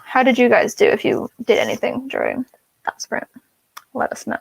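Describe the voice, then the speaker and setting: A woman's voice: fairly high pitch, wildly swooping pitch, normal speed, creaky, slightly rough, dry recording, low-quality recording. A woman hosting a casual writing livestream over a video call, talking in a chatty, playful and sometimes defensive way. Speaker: host.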